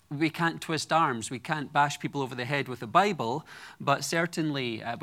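A man's voice: on the high side, sharp tone. A Scottish man in his forties preaching a sermon to a congregation, earnest and personal with light humor. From Scotland. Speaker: guest preacher.

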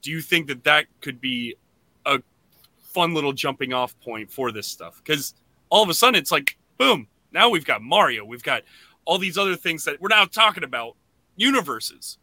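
A man hosting a casual podcast discussion, talking in a halting way and often restarting his phrases. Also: a little high, expressive, pushed and bright. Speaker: podcast host.

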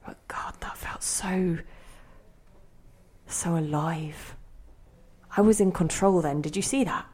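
Low-voiced woman a possessive, wistful inner spirit. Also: takes it slowly, slightly rough. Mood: exhilarated, confiding. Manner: theatrical, musing, by turns sharp and intimate. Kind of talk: audio drama monologue and dialogue.

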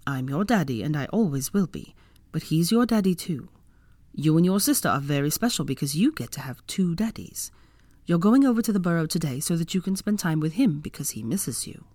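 A woman reads as a brooding, tender man in a romantic story. The voice sits low and dry, protective and devoted. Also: expressive, a little fast, dark tone, no room sound.

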